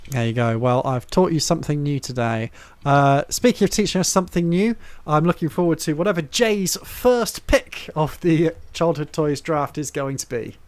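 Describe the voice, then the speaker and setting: A man's voice: on the high side, moderate pace, few pauses, dry recording. A man hosting a podcast in a casual, chatty style. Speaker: podcast host. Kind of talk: casual chat.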